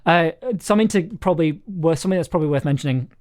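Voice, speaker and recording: A man's voice: fairly high pitch, very fast, a little mellow. A man hosting a casual livestream chat, talking in an effusive, rambling, enthusiastic way. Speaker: host, audio drama writer and producer. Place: remote online call on a livestream.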